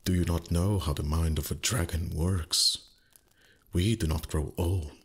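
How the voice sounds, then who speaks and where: Man playing a very husky, deep ancient dragon. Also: steady tone, a little slow. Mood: cynical, teasing. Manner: haughty, teasing, archaic formal phrasing. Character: ancient, proud dragon, gruff but secretly tender. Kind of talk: roleplay ASMR voice acting.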